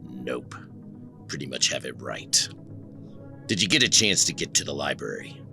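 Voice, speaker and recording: Man acting low, muttering, brooding noir detective. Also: expressive, normal speed, creaky, over music, full character voice. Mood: dry, low. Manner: muttering, terse, sardonic. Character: brooding, irritable male private detective, obsessive, worn-down. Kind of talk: noir audio drama acting.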